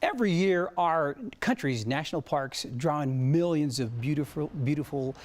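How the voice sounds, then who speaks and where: A man's voice: on the high side, animated, normal speed. A man with an American accent anchoring the news, moving between broadcast delivery and light studio banter.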